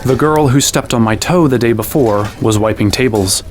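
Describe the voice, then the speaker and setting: An actor playing a wry, kindly young man in a fantasy audio drama, narrating and speaking with gentle, self-deprecating earnestness. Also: medium pitch, moderate pace, lightly acted. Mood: measured. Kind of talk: acted first-person narration and dialogue.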